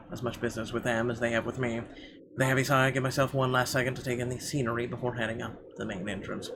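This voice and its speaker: A man with a medium-pitched voice narrates a visual novel for viewers. The delivery is expressive and deliberate, like dramatic storytelling, with an occasional stumble. Noticeable game ambience and noise sit behind the voice. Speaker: gaming video host reading aloud. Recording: clear background noise behind voice.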